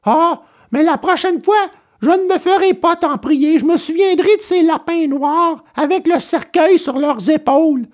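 A woman's voice: very high voice, a little slow, hardly any pauses. A woman playing a stubborn, childlike wooden puppet boy in an audio storybook, whining and exclaiming dramatically. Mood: cheerful, chatty.

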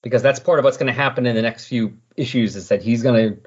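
A man with a slightly husky voice in casual, rambling, enthusiastic conversation as a podcast host. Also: medium pitch, quick pace. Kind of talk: casual chat about comic books. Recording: dull, lo-fi recording.

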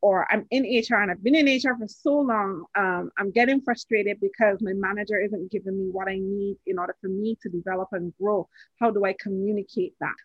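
A woman's voice: medium pitch, breathy edge, no echo, highs cut off. A woman from Barbados hosting a podcast interview, earnest and given to repeating phrases for emphasis. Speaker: podcast host.

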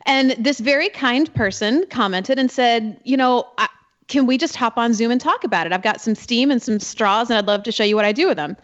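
A woman from Georgia with a Southern accent, a voice teacher guest telling animated, self-deprecating stories. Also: a little high, brisk. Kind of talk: casual interview storytelling. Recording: slightly dull lo-fi audio.

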